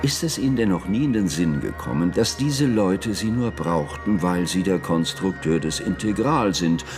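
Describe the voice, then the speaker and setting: Casting: cold, imperious ruler for radio drama, deep voice, highly animated intonation, music behind. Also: male voice, normal speed. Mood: cold, domineering. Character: commanding, coldly assured male ruler, taunting a subordinate. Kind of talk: German radio drama acting.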